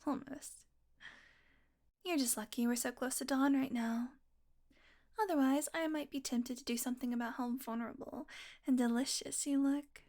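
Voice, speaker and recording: A woman playing a sardonic, teasing, predatory vampire with a soft spot, in an intimate, sweary audio roleplay monologue that often drops to a murmur. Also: high-pitched, steady tone, normal speed, pauses often. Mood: teasing, tempted.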